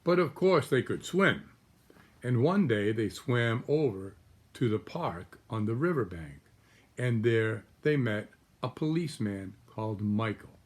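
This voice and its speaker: A man in his sixties reading a children's storybook aloud like a grandfather, pausing to explain the pictures to small children. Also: medium pitch, animated. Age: 60s. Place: small room at home, indoors.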